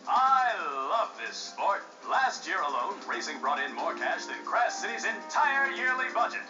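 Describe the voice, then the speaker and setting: A high, wildly swooping voice for a flamboyant male sports show host in a cartoon game. He announces with showy, bloodthirsty glee and a big ego. The audio is a bit dull, with music playing behind. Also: big pitch swings. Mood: smug, scheming. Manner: showy, hyped, drawn-out delivery. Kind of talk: over-the-top sports broadcast announcing.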